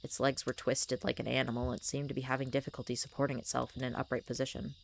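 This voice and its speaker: A young woman with a scratchy voice reading a horror story aloud, slow and hushed. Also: extremely low pitch, little pitch movement, gravelly. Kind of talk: slow scripted horror narration.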